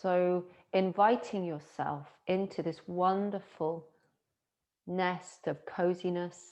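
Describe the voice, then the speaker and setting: A woman with a Scottish accent, a yoga teacher softly and slowly guiding a yoga nidra relaxation with long pauses. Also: on the low side, fairly even intonation. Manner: soft, slow and soothing, with long pauses. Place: her home in the city. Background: singing bowls and chimes.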